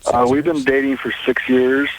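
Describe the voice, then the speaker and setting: A man's voice: mid-range voice, level tone, moderate pace, a little hiss or hum, rough recording. A man in his twenties calling a radio show from a cell phone, talking hesitantly and conversationally. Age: 20s. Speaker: caller. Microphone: cell phone call.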